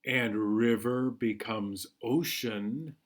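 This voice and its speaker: A man hosting a children's storytime, reading a picture book aloud in a measured, singsong way. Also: medium pitch. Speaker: children's storytime host at a library.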